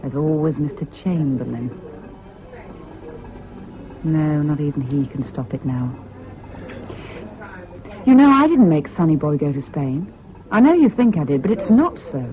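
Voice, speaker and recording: A woman's voice: low-pitched, animated, a little slow, pauses often. An actress playing an emotional, proud young Englishwoman in wartime in a 1970s British radio drama. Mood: distraught, bitter. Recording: dull old radio recording, music behind.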